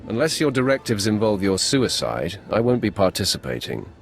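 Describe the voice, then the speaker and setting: An actor playing a cold, arrogant young Sith apprentice in a video game, curt, menacing and sardonic. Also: male voice, lowish voice, very animated, normal speed, gravelly. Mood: scornful menace. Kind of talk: video game cutscene acting.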